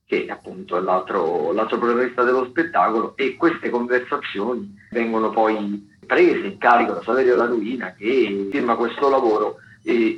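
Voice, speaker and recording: A man presenting theatre listings as a radio critic, talking in a halting way with frequent hesitations. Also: medium pitch. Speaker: theatre critic giving a weekly listings segment. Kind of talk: radio agenda of shows, semi-scripted commentary. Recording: roomy, dull lo-fi radio sound.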